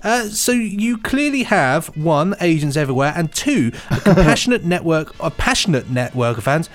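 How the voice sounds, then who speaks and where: A man's voice: fairly high pitch, big pitch swings, normal speed, faint music behind. A man co-hosting a podcast, chatting casually and riffing on silly jokes in a playful way. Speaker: podcast co-host. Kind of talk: jokey casual chat.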